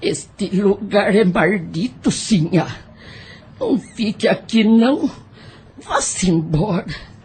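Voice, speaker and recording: A woman's voice: medium pitch, lively intonation, slow, a little mellow, breathy edge, exaggerated voice. An actress playing an old, superstitious plantation servant woman in an audio drama, with rustic speech and an ominous manner. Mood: ominous warning.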